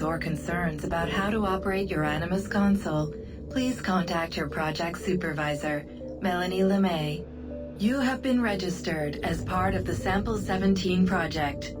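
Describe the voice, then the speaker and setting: A woman voicing a calm, impersonal computer system in a video game, making formal, evenly delivered announcements, distant under loud music. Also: fairly low pitch, natural voice.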